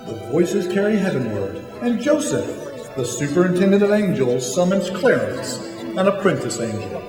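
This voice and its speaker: A man's voice: high voice, sing-song, normal speed. Man acting a swooping, showy old-time radio host. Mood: storytelling. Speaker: radio show host and narrator. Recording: echoey hall, music behind.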